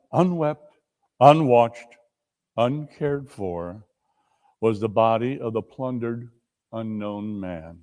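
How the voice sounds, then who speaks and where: A male storyteller reads dramatically in a solemn, deliberate manner. His mid-range voice carries theatrical phrasing and rings with the long reverb of a hall. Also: medium pitch, a little mellow. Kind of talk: dramatic reading of a classic story.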